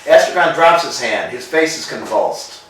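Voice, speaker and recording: A man reading stage directions aloud in a staged play reading, in an even, matter-of-fact way. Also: mid-range voice, moderate pace, slight background noise. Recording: echoey room, far from mic.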